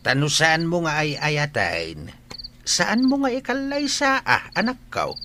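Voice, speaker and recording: A man's voice: fairly high pitch, wildly swooping pitch, measured pace. An actor playing a plain-spoken, fond village father in a radio drama, talking in a folksy, emphatic way. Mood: advising. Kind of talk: Ilocano radio drama acting. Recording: noisy track with clicks and insects.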